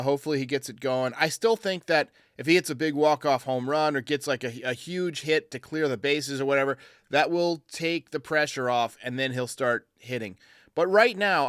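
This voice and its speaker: Guy hosting a sports show, bright crisp voice, really dry close sound. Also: fairly high pitch, no echo. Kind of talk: sports fan commentary, answering voicemails.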